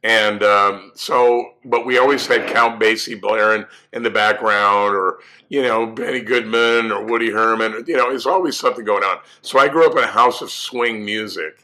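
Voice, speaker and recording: A male musician from California, hoarse from laryngitis, telling stories in a rambling, relaxed way with many fillers. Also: fairly low pitch, moderate pace, few pauses, rough recording. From California, USA. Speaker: musician guest. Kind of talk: storytelling interview answers.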